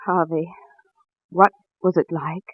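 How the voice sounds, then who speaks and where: A woman's voice: on the low side, steady tone, slow, breathy edge, dry recording, lo-fi sound. An actress in a 1940s radio drama playing a devoted, anxious housewife who also narrates her own suspense story. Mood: anxious, resolute. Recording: old radio recording with music.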